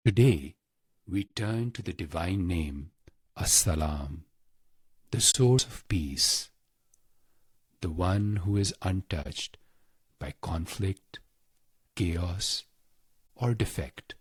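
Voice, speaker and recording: Man, very breathy husky voice, slow pacing, solemn guided meditation, close dry sound. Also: deep voice, expressive, no echo. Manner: slow, deliberate, pausing between short phrases. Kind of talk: scripted guided meditation narration.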